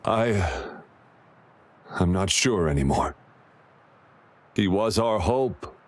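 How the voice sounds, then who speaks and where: A man's voice: deep voice, lively intonation, takes it slowly, exaggerated voice. An actor playing a devoted, protective father in a video game, talking tenderly and anxiously. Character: devoted protective father, middle-aged man.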